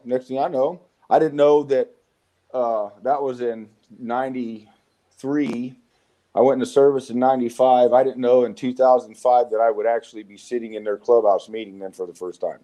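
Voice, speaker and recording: A man in his forties with a Southwest American accent, telling rough, profane stories of his youth as an interview guest. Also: mid-range voice, dark tone, rough recording. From United States. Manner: rough-spoken, swearing, frequent restarts. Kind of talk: casual storytelling interview.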